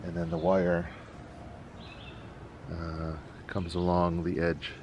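Middle-aged male vlog host, low-pitched, casual and halting explainer, outdoor recording with noticeable background noise. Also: monotone. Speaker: channel host. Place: outdoors in a leafy backyard.

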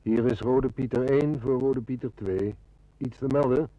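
An actor playing a calm, wry, seasoned police inspector, measured and matter-of-fact, in a radio drama. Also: male voice, medium pitch, dry recording, muffled recording, lightly acted. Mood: composed. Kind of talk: Dutch radio detective drama.

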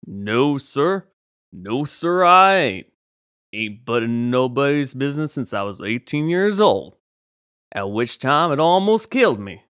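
Man doing a lively, stubborn country fellow. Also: medium pitch, measured pace, smooth, no echo, studio quality, voice pushed up. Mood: stubborn refusal. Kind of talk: dramatic character monologue.

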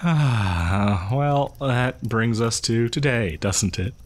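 A man's voice: mid-range voice, drawn-out words. A man playing a chatty, creepily friendly killer posing as a partygoer in a horror audio roleplay, rambling hesitantly and conspiratorially with chuckles. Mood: chatty, amused. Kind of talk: horror audio roleplay, casual storytelling to listener.

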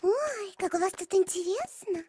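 An actor voicing a tiny, curious, excited childlike creature in a children's cartoon. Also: extremely high pitch, normal speed, noisy background. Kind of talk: children's musical cartoon dialogue.

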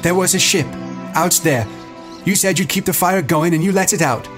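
A man's voice: fairly low pitch, moderate pace, over music, pitched above the performer's own voice, lightly acted. A man voicing an angry, bitter boy chief in a storybook, accusing and intense. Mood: savage, bitter.

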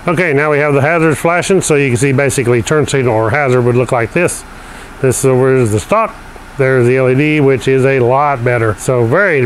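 A man talks through a hands-on install in a casual, practical way, working as he speaks. His voice sits in a middle pitch. He is recorded on a camera mic in a garage, with a faint hum of ambience behind him. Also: rough recording. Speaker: installer demonstrating a product. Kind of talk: casual how-to walkthrough. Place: garage, indoors.

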